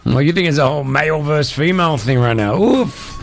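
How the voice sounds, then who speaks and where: A man's voice: fairly high pitch, lively intonation, fairly quick. A man hosting a radio show, chatting casually with a co-host and taking listener calls, laughing often.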